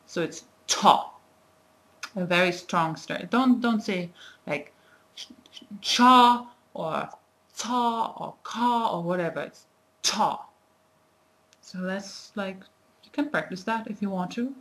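A woman's voice: medium pitch, takes it slowly, pauses often, fairly bright tone. A young woman in her twenties giving a casual language lesson to a webcam in a small room, talking in a chatty, thinking-aloud way with many fillers. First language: not Nepali. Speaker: language teacher. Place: small indoor room, plain wall. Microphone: webcam at desk distance.

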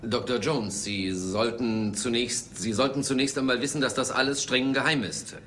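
An actor playing an earnest, secretive intelligence officer in a dubbed film, formal and official. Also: male voice, medium pitch, fairly even intonation, moderate pace, a bit bright, a little hiss or hum, close to an everyday voice. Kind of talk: German film dubbing.

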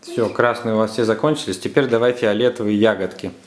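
A man's voice: on the low side, fast talker. A man, a father talking casually to his children, giving them simple, encouraging, repeated instructions as they do a craft. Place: indoors, living room at home. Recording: roomy home recording with noise.

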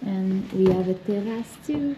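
A woman chats casually to camera, haltingly searching for words in English. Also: medium pitch, warm tone, slight background noise. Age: 20s. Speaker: travel vlogger. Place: small indoor room at night. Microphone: phone propped in front of her. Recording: echoey small room.

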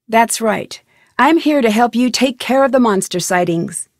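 A woman's voice: on the high side, lively intonation, moderate pace, dry recording, studio quality, close to an everyday voice. A young American woman voicing an inquisitive, polite and determined amateur detective in an adventure video game, with an earnest, questioning delivery. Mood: curious, earnest. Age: young adult. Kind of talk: scripted adventure game voice acting.

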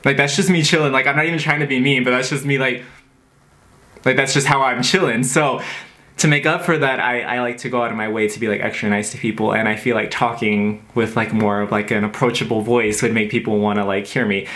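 Chatty young man, drawn-out words. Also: mid-range voice. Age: 20s. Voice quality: lighthearted, drawn-out words, says "like" constantly. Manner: animated, gestures with hands while talking. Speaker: vlogger. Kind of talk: casual opinion vlog to camera. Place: bedroom with posters, indoors.

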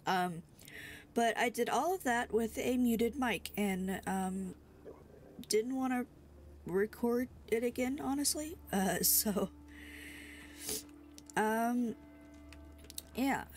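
A young woman with a stuffy cold, rambling sleepily and full of fillers while playing a video game. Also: mid-range voice. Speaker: gaming streamer. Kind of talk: casual let's-play commentary.

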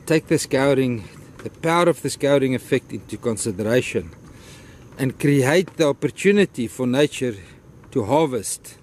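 A man's voice: mid-range voice, animated, moderate pace, darkish tone, a little hiss or hum. A South African man with an Afrikaans accent, casually narrating a vlog while walking his dog on a beach trail, rambling and grateful. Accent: Afrikaans-accented English. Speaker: vlogger. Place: outdoors on a beach and a wooden boardwalk trail.

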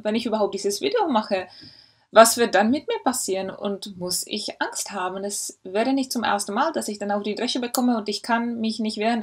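A woman in her forties from the Republic speaks German as an in an earnest, thoughtful way. She pauses and hesitates often as she works through her points. Her voice is slightly husky, and the sound is picked up by a laptop webcam in a study at home. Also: mid-range voice. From Czech Republic. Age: 40s. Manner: earnest, reflective, with frequent hesitations. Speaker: historian. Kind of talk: personal video statement. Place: home study lined with bookshelves. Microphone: laptop webcam mic.

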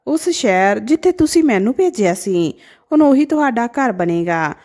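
A devoted young woman doctor from an emotional storybook tale, voiced by a woman narrator. She speaks in a high, very lively voice. Her manner is earnest and pleading, full of a daughter's devotion. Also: moderate pace. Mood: tender, insistent.

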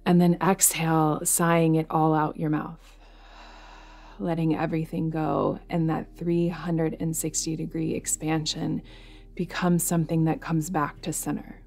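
A woman guiding a yoga nidra meditation in a soothing, unhurried way, leaving pauses between instructions. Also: sits low, level tone, vocal fry, darkish tone. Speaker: meditation guide. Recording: music behind the voice. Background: music.

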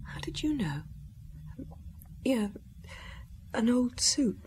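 An actress playing a grieving, bewildered young French wife in a radio drama, halting, breathy and tearful. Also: female voice, fairly high pitch, big pitch swings, a little mellow, slight background noise, low-quality recording. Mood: sad, halting.

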